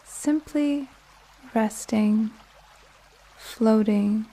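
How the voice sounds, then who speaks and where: A woman with a soft, soothing voice narrating a guided sleep meditation in a gentle, calming manner with long pauses. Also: a little high. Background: soft music and flowing water nature sounds.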